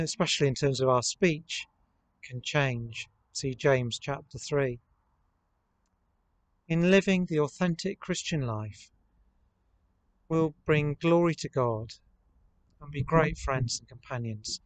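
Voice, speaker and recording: A man in his thirties gives a sermon outdoors. His voice sits a little high for a man. He phrases things deliberately, as a vicar addressing a camera. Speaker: vicar leading worship. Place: outdoors in an open country churchyard.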